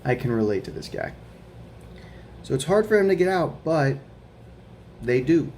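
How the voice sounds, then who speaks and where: A man's voice: mid-range voice, big pitch swings, a little hiss or hum. A man reading a children's picture book aloud as a guest reader, stopping to ask the young listeners questions. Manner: slow, gentle storybook reading with questions to kids.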